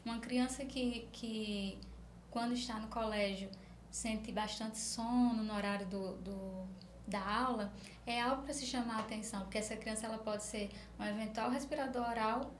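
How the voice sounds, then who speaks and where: A Northeastern Brazilian woman speaks as an interview guest in a fairly high voice. She explains things conversationally, with examples, like a practising therapist. The room adds clear echo, with faint noise underneath.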